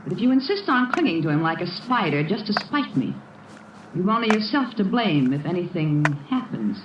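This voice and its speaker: Catty, condescending young woman for vintage radio drama; animated delivery, noisy distant recording. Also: fairly low pitch. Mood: cold, menacing. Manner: mocking, sugary condescension with drawn-out endearments. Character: catty, smug young woman taunting her former friend. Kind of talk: old-time radio drama acting. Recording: muffled, noisy, roomy old radio recording.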